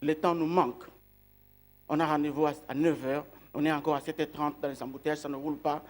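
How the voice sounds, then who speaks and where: A man with a fairly high voice preaches a reflective sermon. He speaks slowly, lingers on key words and poses questions to his listeners before answering them himself. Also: level tone. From outside Belgium.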